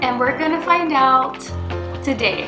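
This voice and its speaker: Woman, high voice, casual storytelling, vlog co-host, echoey room. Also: measured pace. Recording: echoey room with faint music.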